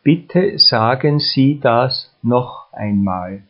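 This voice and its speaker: A man leads a listen-and-repeat drill, speaking each phrase with great care. His delivery is extremely slow, with long even pauses. The recording sounds a bit muffled and lo-fi. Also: mid-range voice. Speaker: language teacher.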